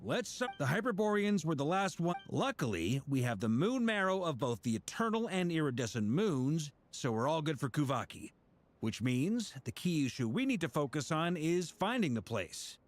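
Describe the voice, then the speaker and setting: Wry, animated young adventurer. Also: male voice, fairly high pitch, moderate pace. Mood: practical, interrupting. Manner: breezy, interjecting, down-to-earth. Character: casual, practical young male adventurer, wry and confident. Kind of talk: anime-style video game acting.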